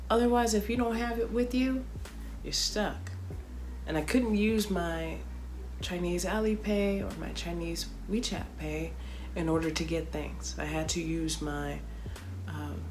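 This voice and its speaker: A woman from Chicago telling a travel story in a playful, slangy way, with dramatic comic asides. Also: lowish voice. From Chicago, USA. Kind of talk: casual storytelling vlog.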